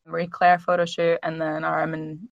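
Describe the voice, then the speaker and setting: A woman in her thirties co-hosting a casual podcast, chatty and quick to laugh as she tells stories. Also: low-pitched, monotone, creaky, no room sound, lo-fi sound. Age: 30s. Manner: chatty, laughs often, storytelling. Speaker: podcast co-host.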